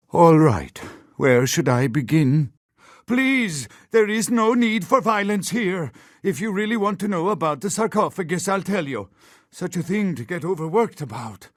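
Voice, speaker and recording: Nervous nerdy archaeologist man for a game, highish voice, dry close sound, explains everything in scholarly detail. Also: no echo. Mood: anxious, bargaining. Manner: learned, explanatory, rambling off into detail. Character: nervous, scholarly male archaeologist held captive. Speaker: archaeologist character in a video game. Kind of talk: video game character dialogue.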